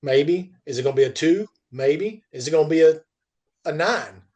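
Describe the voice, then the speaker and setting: Male podcast host, slightly high register. Unscripted trade talk, stumbling restarts, emphatic delivery. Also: animated, darkish tone. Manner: halting, repeats phrase starts; emphatic, asks and answers own questions. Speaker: podcast host and freight industry commentator. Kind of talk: unscripted industry discussion.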